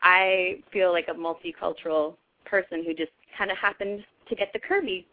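A woman's voice: lowish voice, fairly even intonation, normal speed, slight background noise. A woman from Hawaii chatting effusively as an interview guest, giggly and casual, over a phone call. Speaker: interview guest, model and entertainer. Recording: dull phone call audio.

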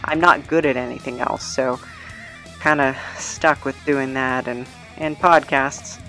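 A woman's voice: a bass voice, fairly even intonation, measured pace, bright, forceful tone, faint music behind, low-quality recording. A woman hosting a podcast, reading out credits and plugs in a casual, chatty, self-deprecating way with sing-song emphasis. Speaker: podcast host and producer.

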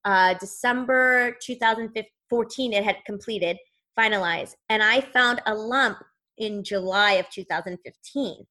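A woman's voice: medium pitch, a little slow, pushed and bright. A woman in her thirties, a podcast guest, telling her own story in an earnest, conversational way. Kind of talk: personal storytelling in interview.

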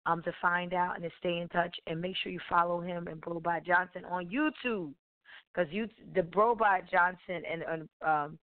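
A woman hosting a live radio interview, introducing her guest in a rambling, halting way while losing her voice. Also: fairly low pitch, clean tone, no room sound. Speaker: radio show host. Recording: tinny phone-like radio audio.

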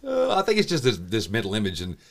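A man who hosts radio, chatting casually in an interview, low-key and self-deprecating with frequent laughs. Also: wildly swooping pitch, fast, gravelly, a little hiss or hum. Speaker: radio host.

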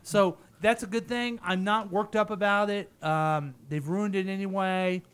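A man's voice: high voice, fairly even intonation, measured pace, a bit bright, clear, resonant tone. Joking, rambling man hosting radio. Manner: joking, rambling, laughs often. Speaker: radio show host. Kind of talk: casual studio banter.

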